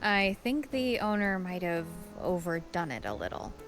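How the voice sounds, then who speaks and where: A woman voicing a composed, thoughtful young heroine in a fantasy video game, with measured, earnest delivery. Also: lowish voice, sing-song, faint music behind. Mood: reassuring.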